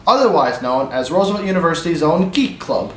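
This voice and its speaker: A man's voice: on the high side, big pitch swings, a little fast. A man reads scripted voice-over narration as a student news reporter. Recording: echoey room, faint ambient noise.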